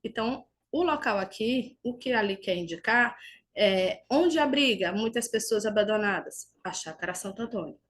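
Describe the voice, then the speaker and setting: A woman's voice: on the high side, expressive, a bit bright. Woman teaching a grammar lesson. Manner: didactic, explains slowly with small restarts. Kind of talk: recorded online grammar lesson over slides.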